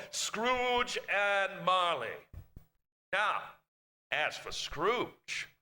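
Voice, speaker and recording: A man's voice: high-pitched, pushed and bright. A man narrating a radio play on a live stage, telling the story in a relishing, emphatic, theatrical way.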